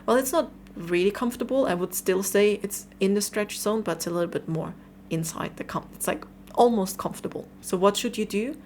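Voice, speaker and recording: A woman's voice: medium pitch, fairly quick, a little mellow, a little hiss or hum. A woman from Austria in her thirties talking candidly to camera in a motivational vlog, chatty and casual with some fillers. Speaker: vlogger.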